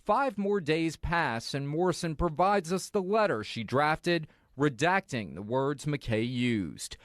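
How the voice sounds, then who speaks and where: Higher-pitched American male news reporter. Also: animated, slow pace, dry recording, clean studio sound. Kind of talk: scripted investigative news narration.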